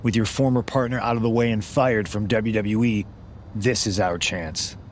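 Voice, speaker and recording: A man's voice: fairly low pitch, steady tone, moderate pace, slight background noise, lightly acted. A man playing a persuasive, scheming leader of a wrestling faction in a video game. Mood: persuasive, assured. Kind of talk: scripted game cutscene dialogue.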